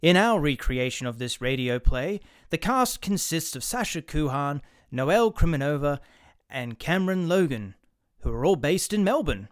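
A somewhat high male voice in a dry, close recording. He is a formal host delivering a measured, scripted introduction to a radio play. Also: big pitch swings, smooth, dry recording.

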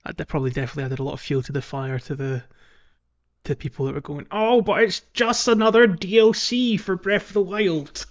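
A man hosting a podcast, chatting casually about a video game and thinking out loud as he goes. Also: high voice, a little fast, lo-fi sound. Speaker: podcast host.

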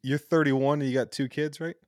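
A man's voice: fairly high pitch, fairly even intonation, brisk, a little mellow, no room sound, clean studio sound. A man in his thirties co-hosting a casual sports podcast, upbeat and joking, swearing now and then. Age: 30s. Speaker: podcast co-host.

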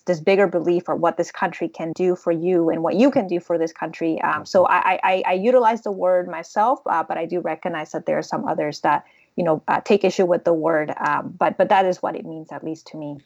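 Earnest woman from Honduras, low voice. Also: dry recording, dull audio. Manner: earnest, thoughtful, many fillers and restarts. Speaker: guest, immigrant rights advocate. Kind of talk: interview conversation.

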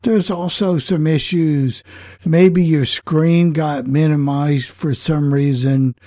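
A man with a husky voice hosting a casual group chat in an encouraging way. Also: fairly high pitch, fairly even intonation, slow pace, warm tone, dry recording, clean studio sound. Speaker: host.

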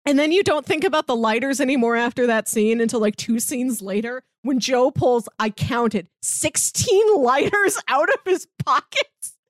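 Upbeat woman with a fairly high, dry voice. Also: expressive, moderate pace, no echo. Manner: enthusiastic, gushing with laughs. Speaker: podcast co-host. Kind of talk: casual chat.

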